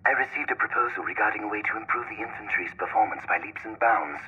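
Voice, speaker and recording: An actor playing a grandiose older imperial scientist dictating a formal research log in a video game, through a recording filter. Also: male voice, lowish voice, quick pace, bright, forceful tone, full character voice. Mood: clinical. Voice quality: husky, raspy. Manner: formal, pompous. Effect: old recording filter. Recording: tinny, phone-like log playback.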